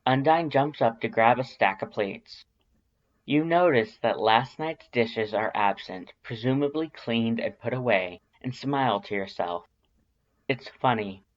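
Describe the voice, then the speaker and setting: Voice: mid-range voice, a little slow, lo-fi sound. A narrator reading a story aloud in a scripted audiobook style.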